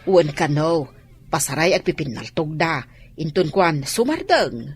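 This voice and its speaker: Lively, lowish-voiced village woman. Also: unhurried, soft background music. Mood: matter-of-fact. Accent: Ilocano. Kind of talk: Ilocano radio drama acting.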